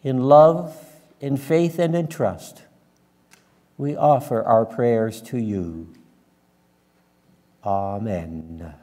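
Slow, mellow older minister, reverberant church. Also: male voice, mid-range voice. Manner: measured, solemn, deliberate phrasing. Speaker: minister leading worship. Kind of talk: sermon and public prayer. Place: church sanctuary, at the front and pulpit.

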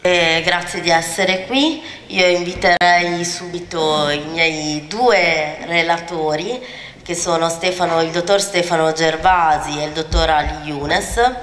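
Low-voiced woman moderating in an echoey hall. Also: fairly even intonation, a little slow, fairly bright tone, a little hiss or hum. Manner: hesitant, many fillers and restarts. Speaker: panel moderator. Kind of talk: moderating a conference panel. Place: large conference hall, seated on stage. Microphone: handheld mic. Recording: echoey hall with faint ambience.

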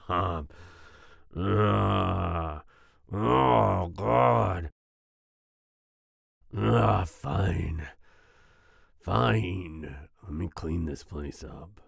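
A man playing a big, grumpy werewolf boyfriend with a growling, husky voice and a soft side, in an ASMR roleplay. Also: deep voice, no echo, clean studio sound, exaggerated voice. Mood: ticklish, protesting, then resigned, fond. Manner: grumbling, slow, with grunts and growls.

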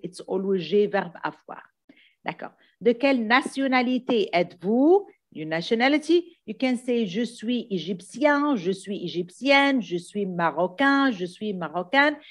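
A woman teaching a language lesson in a didactic way, repeating key phrases and often checking understanding. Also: fairly high pitch. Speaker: French teacher. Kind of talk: exam coaching lesson, switching between two languages.

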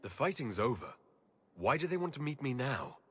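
A man's voice: medium pitch, very animated, normal speed, husky. An actor playing an earnest male elf leader in a video game cutscene, speaking plainly and questioning what happens around him. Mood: puzzled. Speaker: leader of the Inquisition.

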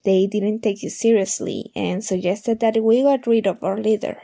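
A woman acting as an earnest, gentle funfair worker in an audio drama, telling things carefully. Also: mid-range voice, steady tone, moderate pace, creaky. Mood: worried.

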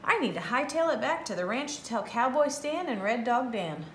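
A woman's voice: on the high side, expressive, normal speed, reverberant, slight background noise, natural voice. A woman voicing a frantic female prairie chicken with a folksy, urgent drawl.

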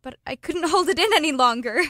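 Actress as high, bright, hesitant wife. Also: female voice, lively intonation, fast talker, no room sound, clean studio sound. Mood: shyly happy. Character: newly pregnant wife, hesitant and affectionate. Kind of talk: radio play acting, domestic dialogue.

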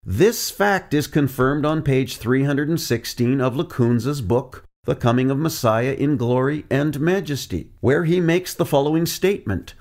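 A man delivering a scripted teaching narration as a preacher, measured and deliberate, reading out quotes from his sources. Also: mid-range voice, a little mellow.

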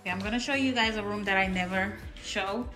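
A woman's voice: mid-range voice, quick pace, roomy sound, music in the background. A woman casually showing her home and purchases on a handheld phone, talking in a rambling, self-correcting way. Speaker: home vlogger. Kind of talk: casual clean-with-me narration. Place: large bright home, kitchen and playroom. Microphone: handheld phone camera.

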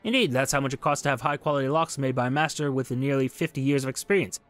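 A man's voice: medium pitch, quick pace, a bit bright, lightly acted. A proud old locksmith, acted out by a man reading a game aloud, stands accused and answers back. He is indignant and boastful, with light music behind him. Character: proud old master locksmith on trial, defiant and grumbling.

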